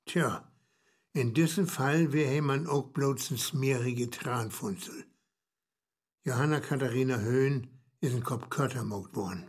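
Husky, wry North German storyteller. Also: male voice, medium pitch, measured pace. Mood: dry, grave. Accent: Low German. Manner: dry, explanatory. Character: wry, knowing storyteller explaining history to his pal. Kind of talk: radio play banter and storytelling.

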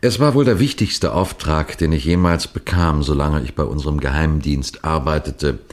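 An actor playing a seasoned, courteous detective superintendent who tells his case deliberately and instructively in the style of a 1960s German radio play. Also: a bass voice. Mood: storytelling, suspenseful. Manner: deliberate, didactic, addressing listeners formally.

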